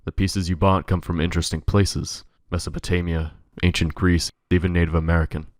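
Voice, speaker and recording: A man playing a brooding, protective playboy detective in an audio drama, speaking in a grave, measured way. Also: low-pitched, flat delivery, dry recording, studio quality, close to an everyday voice. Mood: grave, insistent.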